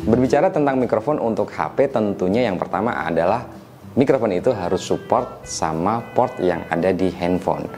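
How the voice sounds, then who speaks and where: Deep-voiced man, stuffy and nasal. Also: moderate pace, over music. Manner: relaxed, chatty, frequent tag questions. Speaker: tech reviewer teaching mic setup. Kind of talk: casual explanatory tutorial.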